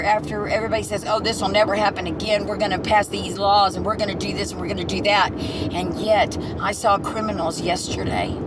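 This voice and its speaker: A woman host, giving blunt, profane political commentary that turns heated and nearly shouted. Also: mid-range voice. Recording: loud street and traffic noise behind.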